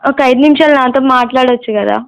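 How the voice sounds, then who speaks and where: A woman's voice: high-pitched, fast, creaky, no room sound. A woman prank caller chatting casually on the phone in a coy, insistent, teasing way. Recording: slightly dull, dry phone call audio.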